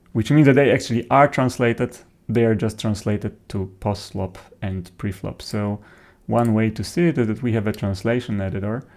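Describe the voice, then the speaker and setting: A man, a native Polish speaker with a Polish accent, presents an informal software tutorial in a halting, hesitant way. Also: fairly low pitch. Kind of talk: informal software demo narration.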